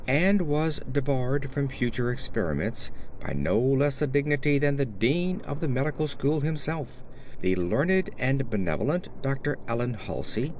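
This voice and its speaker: A man reads a gothic horror tale as an audiobook narrator. His mid-pitched voice moves in lively, wide swings, while the phrasing stays formal and deliberate. A faint ambient noise sits behind him. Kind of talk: scripted horror story narration.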